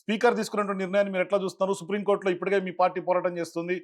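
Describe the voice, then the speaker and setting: Fast-talking high-voiced male interviewer. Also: flat delivery. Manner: quick, probing questions. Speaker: political news interviewer. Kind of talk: rapid political interview questions.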